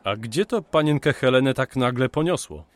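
An actor playing a practical travelling surveyor and loyal friend in a historical radio drama, brisk and polite. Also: male voice, medium pitch, expressive, dry recording, close to an everyday voice. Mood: puzzled, consoling.

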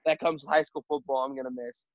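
A teenage high school quarterback with a high-pitched voice, answering interview questions earnestly with many fillers and restarts. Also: male voice, steady tone, no room sound. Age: teens. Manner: halting, filler-heavy, earnest. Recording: dull, muffled audio.

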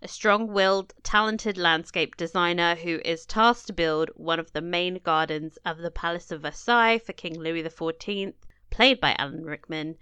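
A woman's voice: lowish voice, moderate pace, pushed and bright, clean tone, no room sound. A woman from England co-hosting a podcast in a casual, chatty way, laughing and swearing now and then. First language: not French. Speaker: podcast co-host. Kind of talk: casual chat.

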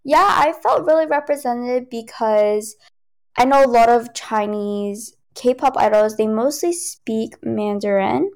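A woman's voice: fairly high pitch, slow pace, a little mellow. A young American woman, a Cantonese speaker, chatting casually and playfully with slang and frequent laughs. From United States. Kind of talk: casual chat.

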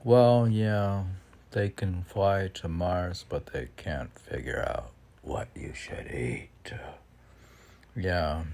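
A man with a gravelly, husky voice reading his own poems, with halting, self-deprecating commentary in which he talks back to himself. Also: deep voice, a little mellow. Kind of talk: poem reading mixed with rambling self-commentary.

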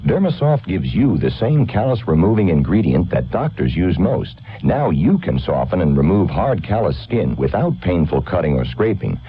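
A man's voice: low voice, very animated, normal speed, few pauses. A man announcing a scripted radio advertisement. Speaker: commercial announcer. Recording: dull lo-fi old radio.

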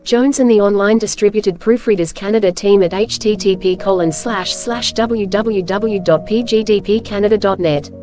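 A woman reading scripted storybook narration as host, with measured, clear phrasing. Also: medium pitch, mellow, faint music behind.

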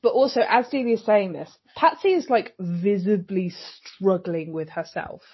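A woman's voice: mid-range voice, unhurried, husky. A woman co-hosting a podcast, chatting casually about a TV episode and acting out lines from it. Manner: animated, acts out quoted lines. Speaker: podcast co-host. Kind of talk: casual chat recapping a TV episode. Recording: dull lo-fi sound.

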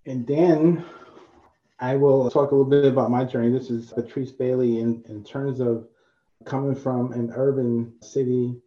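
A man's voice: medium pitch, fairly even intonation, moderate pace, roomy sound, muffled recording. A man from New York City speaking steadily and reflectively as a panel guest. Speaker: guest, agriculture official. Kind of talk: video call panel talk.

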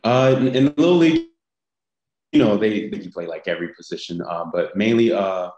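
A young man from the United States, a football player being interviewed, answering in an easygoing, halting way with many pauses. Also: on the low side, lively intonation, a little mellow, highs cut off. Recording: roomy echo.

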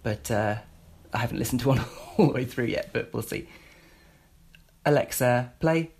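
A man's voice: mid-range voice, moderate pace, a little mellow, slight background noise. A British man giving an informal spoken demonstration as a presenter, rambling a little and feeling his way through. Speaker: presenter demonstrating a voice assistant skill.

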